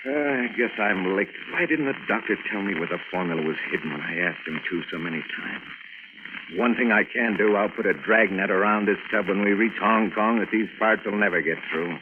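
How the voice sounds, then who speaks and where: A hard-boiled American adventure hero, performed by an actor in a radio play. He has a low voice and a brusque, wisecracking, slangy delivery. The recording is old, tinny and full of noise. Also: a little fast, natural voice. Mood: musing.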